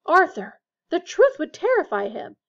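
A woman reading a storybook and voicing a brisk, shrill middle-aged mother of a big family, quick to scold. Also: wildly swooping pitch, fairly bright tone, dull audio, full character voice. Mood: anxious, shrill.

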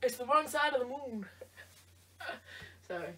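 A woman's voice: high-pitched, animated, slow, pushed and bright. A tired woman chatting playfully in a try-on vlog, teasing someone off camera. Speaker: vlogger. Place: bedroom indoors. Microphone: camera across the room. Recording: voice from a distance.

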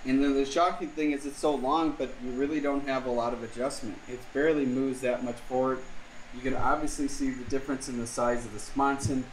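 A man's voice: medium pitch, fairly even intonation, fairly quick, roomy sound, slight background noise, low-quality recording. A man casually explaining a jet ski part swap, thinking aloud with lots of fillers, recorded on a camera in his home garage. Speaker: vlogger. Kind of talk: casual how-to vlog.

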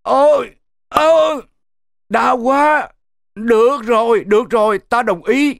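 A man voicing a hapless, excitable grey wolf in a children's cartoon, dramatic and exclaiming. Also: extremely high pitch, slow pace, creaky, dry recording, studio quality, full character voice. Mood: pleading, flustered. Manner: exclaiming, dramatic, stammering when flustered.

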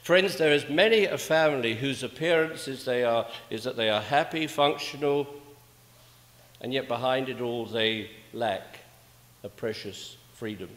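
An older man preaching a sermon in a measured, rhetorical manner, with emphatic pauses and repeated phrases. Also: mid-range voice, fairly bright tone. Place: indoors, small church at a pulpit. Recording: echoey church with long reverb.

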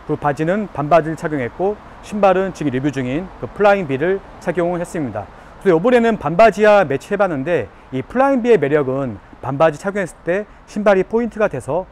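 Male vlogger, 30s, slightly high voice, product-review delivery, faint street noise behind. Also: darkish tone. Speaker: fashion review YouTuber. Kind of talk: presenting a product review to camera. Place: outdoors by a concrete wall on an urban street.

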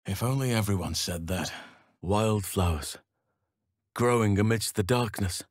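A man voicing a wise, gentle-hearted druid healer in a video game, speaking in measured, formal, archaic phrasing. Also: fairly low pitch, no echo, clean studio sound. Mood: solemn, measured.

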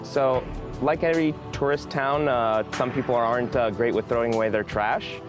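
A man in his thirties answering interview questions on a sunny beach in a relaxed, matter-of-fact way with a few ums. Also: medium pitch, normal speed, music in the background. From United States. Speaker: interviewee, scuba diver.

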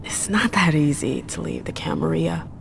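Low, creaky, wry vampire woman. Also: expressive, normal speed, a little hiss or hum, heavily acted. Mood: cool. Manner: sardonic, self-assured. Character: cool, wry, world-weary female vampire club owner. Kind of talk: video game voice acting.

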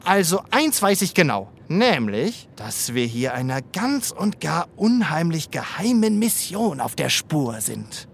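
Low-voiced, lively cartoon zebra. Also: female voice, measured pace, vocal fry, few pauses, a little hiss or hum, full character voice. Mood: eager, explaining. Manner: playful, eagerly explaining. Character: friendly, clever, excitable cartoon zebra, child's best friend. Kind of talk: children's audio drama acting.

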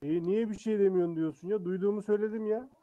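A man's voice: sits high, rapid-fire, phone-quality sound. A man arguing in online game roleplay, with an indignant, challenging manner.

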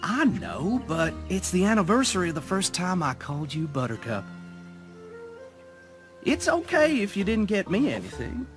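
A man's voice: sits high, wildly swooping pitch, moderate pace. A man voicing a smitten young farm stallion in a cartoon, earnest and boyish. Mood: playful, affectionate. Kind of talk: cartoon voice acting. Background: guitar music.

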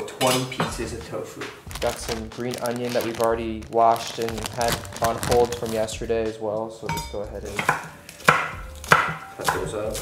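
A young man talks his way through cooking in a home kitchen, loose and self-mocking as he goes. His voice sits mid-range and bounces around a roomy, echoey space. Loud sizzling from the pan runs under everything he says. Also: mid-range voice. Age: young adult. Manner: chatty, self-deprecating, thinks aloud while cooking. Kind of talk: casual cooking vlog. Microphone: camera mic at a distance. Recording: echoey kitchen with frying noise. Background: sizzling pan and background music.

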